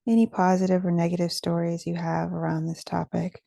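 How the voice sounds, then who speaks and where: A woman leading a guided meditation in a gentle, soothing, measured way, with pauses between phrases. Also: on the low side, level tone, creaky, no echo, clean studio sound.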